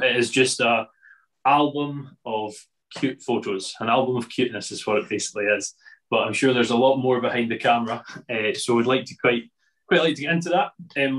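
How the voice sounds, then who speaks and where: A man's voice: mid-range voice, fairly bright tone, poor audio. A man hosting a podcast who chats casually with his guest in an easygoing, self-deprecating way. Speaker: podcast host.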